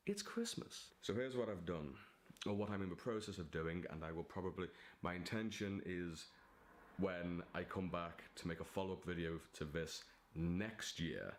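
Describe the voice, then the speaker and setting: A man's voice: deep voice, lively intonation, moderate pace, slight background noise. A man talking casually to camera as a vlogger and writer, in a theatrical, giddy, digressive manner. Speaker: vlogger and aspiring novelist.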